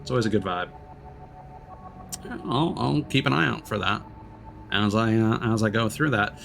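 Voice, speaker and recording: A man's voice: on the low side, moderate pace, creaky, fairly bright tone, faint music behind. A man livestreaming a game, chatting casually in an easygoing, rambling, hesitant way. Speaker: live streamer. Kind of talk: casual game stream chat.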